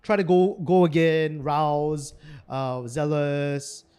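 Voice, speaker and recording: Higher-voiced man breaking down card plays. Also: takes it slowly, a little mellow, clean tone. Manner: analytical, chatty, friendly. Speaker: guest commentator. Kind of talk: live stream commentary.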